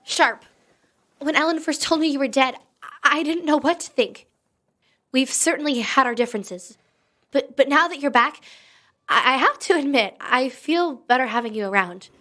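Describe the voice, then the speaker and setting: A woman's voice: sits high, moderate pace, sharp tone. A woman playing a defiant, loyal young heroine in an audio drama, earnest and emotional. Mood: sincere, hesitant.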